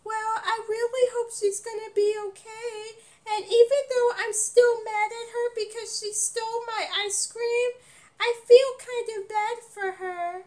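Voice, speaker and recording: A woman voicing a sweet young girl who speaks softly and gently. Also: extremely high pitch, level tone, unhurried, low-quality recording. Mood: gentle. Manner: soft, quiet, gentle.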